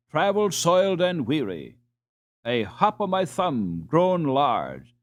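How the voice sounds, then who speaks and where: Male audiobook narrator, measured storybook reading, unhurried pace, widely varied intonation. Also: mid-range voice.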